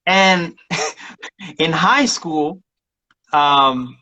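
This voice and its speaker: A man's voice: on the high side, very slow, pushed and bright. Chatty young-sounding man, dull lo-fi sound. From Somalia. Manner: rambling with many restarts and laughs. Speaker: music producer guest. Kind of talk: casual interview chat.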